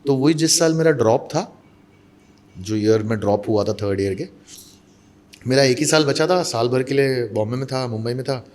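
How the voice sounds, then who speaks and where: A man's voice: mid-range voice, normal speed, long pauses, a little mellow. A man from Mumbai, an actor and voice-over artist, telling stories in a casual interview and mixing Hindi with English. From Mumbai, India.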